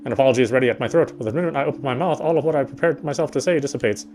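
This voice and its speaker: A man's voice: medium pitch, very fast, slight background noise. A man reading a visual novel's narration aloud, now and then stumbling over a phrase and rereading it. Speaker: game player reading aloud. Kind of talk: scripted narration read aloud. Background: soft guitar game music.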